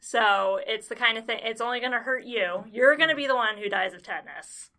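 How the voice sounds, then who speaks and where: A woman's voice: on the high side, fairly quick, sharp tone. A woman guest on a podcast, a public health planner, explaining things plainly with a dry sense of humor. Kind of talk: casual podcast chat.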